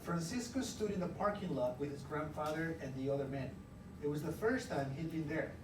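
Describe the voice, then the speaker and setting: A man teaching a class through an interactive read-aloud, pausing to question and explain as he goes. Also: a little high. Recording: echoey room, far from mic, loud noise.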